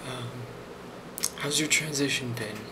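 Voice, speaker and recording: A man speaking softly in an ASMR role play as a boss, hesitant and reassuring, with frequent pauses. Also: mid-range voice, background noise. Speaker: ASMR role-player playing a boss giving a review.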